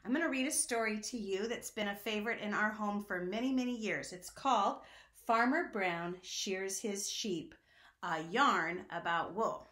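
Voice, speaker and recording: A woman reading a picture book aloud to young children, expressive and sing-song, talking to them directly. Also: mid-range voice, normal speed. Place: indoor room at home. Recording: echoey room.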